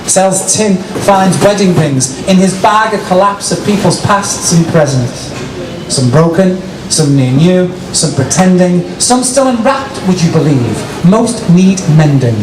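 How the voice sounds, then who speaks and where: Male performance poet for live outdoor recital; theatrical, drawn-out phrasing, heard from a distance over noisy ambience. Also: high voice, roomy sound. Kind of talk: dramatic live poetry recital with casual asides. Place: outdoor city square, poetry tent. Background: street ambience and passers-by noise.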